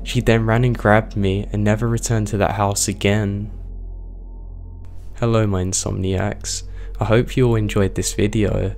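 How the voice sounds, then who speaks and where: A man with a strained, hoarse voice, reading true horror stories aloud as the host of his channel at a steady pace. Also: on the low side, unhurried, faint music behind. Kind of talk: scripted horror story narration.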